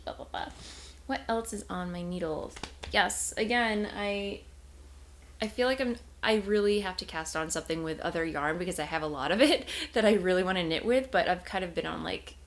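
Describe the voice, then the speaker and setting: A young woman hosting a knitting video podcast, chatting casually and rambling with self-corrections and small laughs. She sounds congested and a bit nasal, and tired. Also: on the low side.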